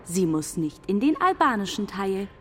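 A woman's voice: mid-range voice, sing-song, normal speed, a bit bright, slight background noise. A woman playing a stern, curt female immigration office caseworker in a radio play. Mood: cold, dismissive. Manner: cold, curt, bureaucratic.

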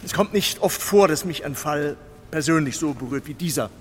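A man plays a pompous, solemn prosecutor in a radio play. His somewhat high voice carries through a long hall reverb with faint ambient noise. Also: expressive, moderate pace, close to an everyday voice. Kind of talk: radio drama oratory.

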